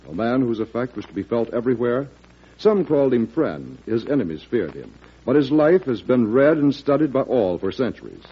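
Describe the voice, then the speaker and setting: A man's voice: medium pitch, slightly rough. A man with an American accent announcing a radio promo in a dramatic vintage scripted style. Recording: dull vintage radio recording.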